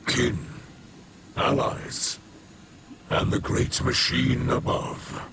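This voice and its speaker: A man's voice: mid-range voice, flat delivery, background noise. An actor playing a weary, solemn four-armed alien king in a game, stately and formal in his speech. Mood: grave, resolute. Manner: stately, measured. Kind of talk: formal, grave game dialogue. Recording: loud music under the voice. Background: music.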